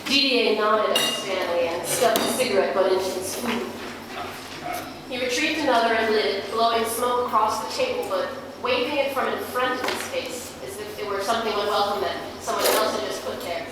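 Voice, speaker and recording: A woman's voice: mid-range voice, moderate pace. A woman narrating literary fiction at a live reading. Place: large echoey venue, live reading. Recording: echoey hall, far from mic, noisy.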